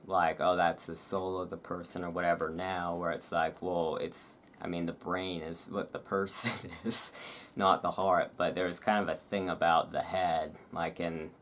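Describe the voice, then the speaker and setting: A person reading aloud in a relaxed way with casual, rambling asides, halting and self-deprecating about it. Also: low voice, slight background noise. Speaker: reader and host. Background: thunderstorm ambience.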